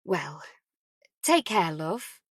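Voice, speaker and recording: A caring older mum, played by a woman narrator, coaxing and deliberately upbeat. She reassures with gentle persistence, as an audiobook character, recorded dry and close. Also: deep voice, very animated, breathy edge, no echo, voice pushed down, heavily acted. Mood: reluctant, caring.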